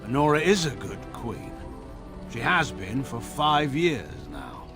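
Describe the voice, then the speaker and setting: Fantasy game general: middle-aged, proud, weary, dryly sardonic, formal delivery with wide melodic swings, over clear background music. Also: male voice, medium pitch, big pitch swings, slow pace. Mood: firm, proud. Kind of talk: dramatic fantasy game dialogue.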